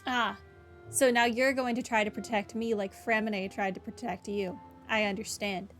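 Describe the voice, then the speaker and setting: A woman voicing a calm, observant young traveler in a game, with a casual, thoughtful way of talking. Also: medium pitch, moderate pace, faint music behind, lightly acted. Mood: assured.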